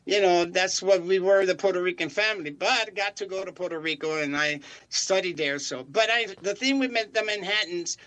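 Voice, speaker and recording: An older singer from Chicago answering interview questions in a rambling way, with many fillers and restarts. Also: male voice, high voice, brisk, few pauses, a bit bright, poor audio. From Chicago, United States. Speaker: singer guest.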